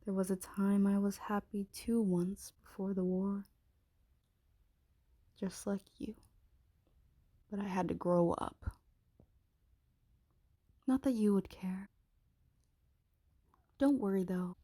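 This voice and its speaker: A woman playing a menacing, possessive dragon shifter queen in an ASMR roleplay, commanding and often slipping into breathy whispers. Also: mid-range voice, fairly even intonation, slow, pauses often, warm tone, dry recording, clean studio sound. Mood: taunting, threatening.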